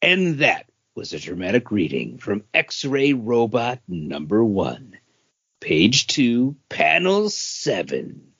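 A man chats casually as a podcast co-host at a very unhurried pace. His voice sits at an average pitch. The recording is close and dry, slightly muffled. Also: huge pitch swings, gravelly, a bit bright, breathy edge, dry recording. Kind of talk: casual chat. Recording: dull, dry lo-fi audio.

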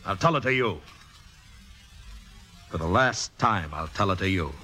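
An actor playing a star's exasperated but loving partner, emphatic and pleading, in a 1950s radio drama. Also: male voice, deep voice, lively intonation, a little slow, bright, forceful tone, exaggerated voice. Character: exasperated, loving male partner of a stage star. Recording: vintage radio broadcast with music.

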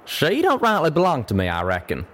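A man's voice: fairly high pitch, huge pitch swings, brisk, fairly bright tone, pitched above the performer's own voice. Man voicing a drawling cowboy pegasus, folksy and bashful. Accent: American South or West drawl. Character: young male pegasus cowboy, plain-spoken, bashful ex-captain.

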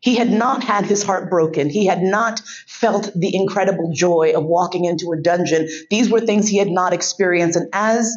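A woman's voice: fairly low pitch, normal speed, hardly any pauses, lo-fi sound. Frank, laughing woman telling a story. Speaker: podcast host giving a keynote speech. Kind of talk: storytelling speech.

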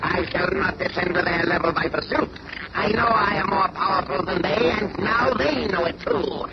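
An actor playing an arrogant alien supervillain in a superhero radio drama, with grandiose, menacing, drawn-out declarations. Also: male voice, high voice, natural voice. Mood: gloating, scornful. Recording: muffled, very noisy old radio recording.

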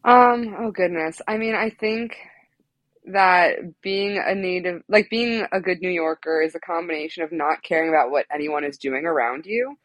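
A woman's voice: lowish voice, moderate pace, no room sound, low-quality recording. Giggly New woman guest. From New York. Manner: playful, giggly, rambling. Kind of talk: casual comedic podcast chat.

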